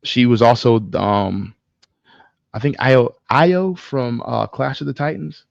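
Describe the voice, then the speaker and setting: A man co-hosting a casual podcast, speaking in an opinionated, emphatic way with swearing and halting pauses. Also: mid-range voice, animated, vocal fry, dry recording, muffled recording. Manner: profane, emphatic, halting with pauses mid-thought. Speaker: podcast co-host. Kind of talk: casual film discussion, opinionated ranting.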